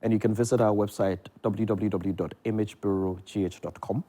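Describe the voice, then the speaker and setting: A man's voice: fairly low pitch, fairly even intonation, fairly quick, mellow. A man with a Ghanaian accent talking conversationally as a theatre producer and director being interviewed on television. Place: television studio.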